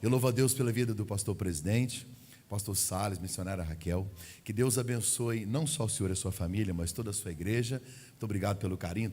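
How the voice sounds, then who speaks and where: A man's voice: deep voice, warm tone. Man preaching with long church reverb. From Goiás, Brazil. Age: 40s. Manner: chatty and humorous, acting out quoted dialogue. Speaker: visiting pastor. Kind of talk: family service sermon. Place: church sanctuary.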